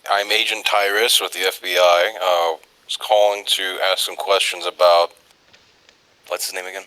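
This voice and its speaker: A man with a deep and very sharp, forceful voice improvises as a tabletop game player. He speaks haltingly, hesitating as he goes through an in-character call. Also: level tone, smooth, poor audio.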